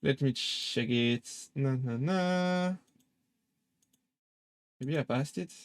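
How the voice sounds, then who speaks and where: A man's voice: medium pitch, slow pace, dry recording. A young man with a French accent chatting casually on a live stream, hesitant with many fillers and restarts. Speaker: VTuber streamer.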